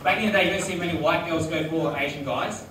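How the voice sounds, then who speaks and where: A young man doing stand-up in a small bar, his voice pitched fairly high and caught from the back of the room. The sound rings around a hard, echoey space, with loud crowd noise and laughter filling the gaps. He sets up jokes deadpan and laughs at his own punchlines. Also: quick pace, far from the mic. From Australia. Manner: deadpan one-liners with laughing asides. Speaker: stand-up comedian. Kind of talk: stand-up comedy set. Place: small restaurant bar, live audience. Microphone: handheld mic, filmed from far back.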